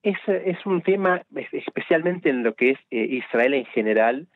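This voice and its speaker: A man's voice: on the high side, expressive, normal speed, no echo. Argentine man explaining, slightly dull lo-fi sound. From Buenos Aires, Argentina. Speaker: interview guest, rabbi and journalist. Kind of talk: explanatory radio interview answers. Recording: dull, lo-fi radio line.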